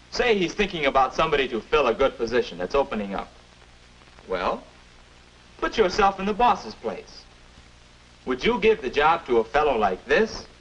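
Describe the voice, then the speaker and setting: Casting: persuasive young American mentor of twenty-one, very animated delivery, muffled 1950s educational film sound. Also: male voice, fairly high pitch, breathy edge, heavily acted. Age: young adult. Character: confident 21-year-old future self, patient persuasive advisor.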